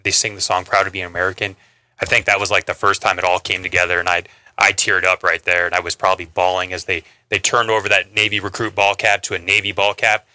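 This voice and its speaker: A male sailor from Montana with a low, level voice that is crisp and very bright. It is recorded dry and close, and he speaks from the heart with small stumbles. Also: little pitch movement, no echo. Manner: heartfelt, slightly halting. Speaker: Navy sailor guest. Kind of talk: radio interview.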